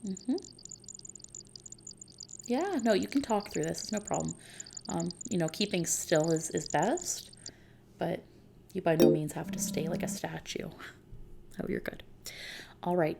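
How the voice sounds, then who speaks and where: Very creaky, soft-spoken woman playing a friendly futuristic technician. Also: fairly low pitch, music in the background. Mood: gentle, reassuring. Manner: gentle, reassuring, casual, unhurried. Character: friendly reassuring female technician at futuristic body-customization service. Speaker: ASMR roleplay performer. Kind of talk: soft-spoken ASMR customer-service roleplay.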